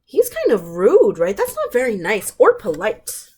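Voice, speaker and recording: A woman reads picture books aloud as a children's storytime host. Her voice sits a little high and she takes it very slowly, with simple, emphatic phrasing aimed at young listeners. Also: wildly swooping pitch, a little mellow, husky. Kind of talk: picture book read-aloud with questions to listeners.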